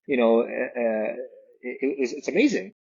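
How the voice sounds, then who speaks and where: A man's voice: mid-range voice, lively intonation, unhurried, vocal fry, a little mellow, breathy edge, no echo, lo-fi sound. A man speaking as a guest in an interview, hesitant and often restarting his sentences. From Canada. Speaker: guest, realtor and investor. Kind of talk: podcast interview conversation.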